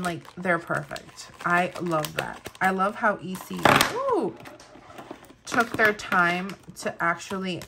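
A woman's voice: on the low side, background noise. Chatty woman with a Spanish accent. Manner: chatty, gushing, many fillers. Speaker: planner vlogger. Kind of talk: casual product review. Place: indoors at a table, overhead camera on hands.

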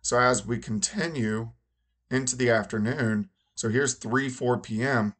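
A hoarse man in his forties, a meteorologist giving a relaxed, conversational weather forecast from a home office. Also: medium pitch, a little slow.

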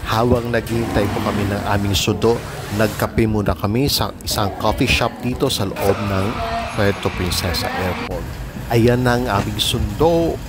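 A man's voice: fairly low pitch, moderate pace, heavy background noise. A man with a Filipino accent narrating a travel vlog in a polite, casual way, mixing Tagalog and English. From Philippines. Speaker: travel vlogger.

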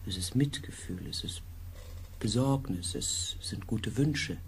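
Earnest scifi expedition man, unhurried, gentle hushed bits, some noise behind him. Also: fairly low pitch, expressive. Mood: soothing, concerned. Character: earnest, compassionate male space-expedition researcher recounting events. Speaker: narrator and character in a radio play. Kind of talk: German sci-fi radio drama acting.

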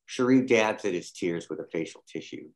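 Casting: male narrator for script table read, low-pitched, delivering stage directions. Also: animated, normal speed.

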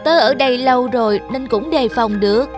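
A woman's voice: a little high, brisk, music in the background, close to an everyday voice. A woman voicing a cautious, loyal young mug in a cartoon, earnest and childlike. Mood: cautious warning.

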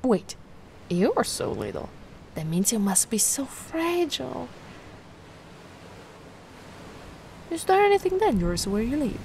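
A woman voicing a giant, sweet and curious young mermaid in an ASMR roleplay, gushing and doting in a gentle, cooing way. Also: high-pitched, big pitch swings, normal speed. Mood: worried, protective. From Italy. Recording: noticeable ambient background noise.

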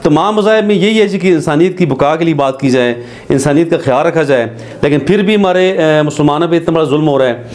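A middle-aged man with a Pakistani Urdu accent speaks in a voice pitched slightly high for a man. He delivers studio commentary to camera in an earnest, measured way, stopping often on hesitant fillers. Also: darkish tone. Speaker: advocate commentator. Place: studio with green screen backdrop.

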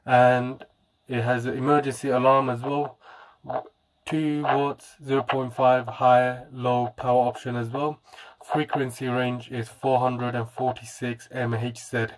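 Guy doing a casual gadget review, dry close sound, bit halting. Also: medium pitch, little pitch movement, dry recording, low-quality recording. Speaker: product reviewer. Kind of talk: casual product review demonstration.

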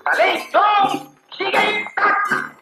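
Man, declaiming orator, high lively voice, echoey archival audio over music. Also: slow. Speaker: political speaker. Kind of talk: archival political speech.

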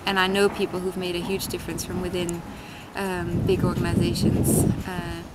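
A woman in her thirties from Denmark, thinking aloud in an interview with many pauses and fillers, seated at an outdoor street table. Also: lowish voice, monotone. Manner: thoughtful, many ums and restarts. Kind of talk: reflective interview answers. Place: outdoors at a street-side table by a tiled wall. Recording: loud city traffic noise behind.

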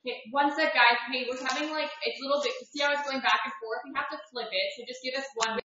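Woman, casual helper reading out comments, highish pitch, heavy room echo. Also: steady tone, very fast, bright, forceful tone. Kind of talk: casual live stream chat. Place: home kitchen, off camera. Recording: echoey, distant, dull audio with noise.

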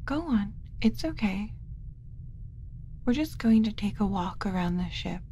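Woman playing a slow, soft-spoken motherly alien. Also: mid-range voice, lively intonation, slight background noise. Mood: tender, curious. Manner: coaxing, slow, motherly, talking as if to a small child. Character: gentle doting female alien mothering a captured human. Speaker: ASMR roleplay performer. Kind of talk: ASMR roleplay, soft-spoken with frequent whispering.

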